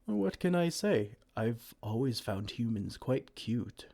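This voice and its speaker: A man voicing a kind, gentle male giant who cares for a tiny human, speaking softly and soothingly in an ASMR roleplay. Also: mid-range voice, big pitch swings, slow, gravelly, exaggerated voice. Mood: tender. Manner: soft-spoken, soothing, tender pet names.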